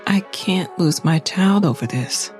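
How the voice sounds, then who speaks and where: An actress in an audio drama playing a worried, loving middle-aged mother, gentle and pleading, with a breathy, husky voice. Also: on the low side, expressive, a little slow, faint music behind.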